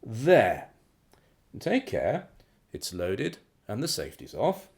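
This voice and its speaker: An actor in a radio play playing a suave, menacing crime boss with a mockingly genteel, condescending and drawn-out delivery. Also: male voice, medium pitch, wildly swooping pitch, gravelly, a little mellow, exaggerated voice. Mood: mocking menace. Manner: theatrical, condescending, chuckling politeness with drawn-out phrases.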